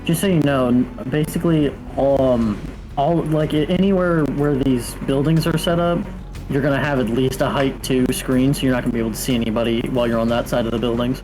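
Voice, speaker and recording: A man's voice: medium pitch, steady tone, fairly quick, over music, poor audio. A man chatting casually as a player in a tabletop game.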